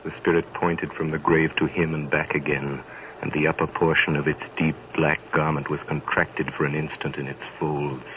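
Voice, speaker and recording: A man's voice: a bass voice, flat delivery, normal speed, a bit bright, a little hiss or hum. A man giving dramatic, sonorous scripted narration in a 1930s radio drama. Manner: sonorous, theatrical. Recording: dull 1930s radio broadcast with music.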